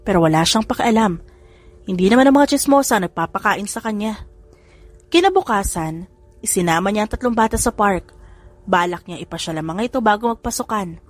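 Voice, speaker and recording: A woman narrating an audio drama story in a lively, expressive reading style. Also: mid-range voice, a little fast, no echo, soft background music. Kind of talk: audiobook storytelling with character voices.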